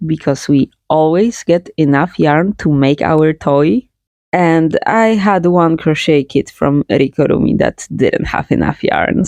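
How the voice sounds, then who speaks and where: A woman's voice: low-pitched, dark tone, no room sound. Woman reviewing crochet kits. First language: Polish. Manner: thoughtful, hedging, plain-spoken. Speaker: crochet channel host. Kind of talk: product review vlog.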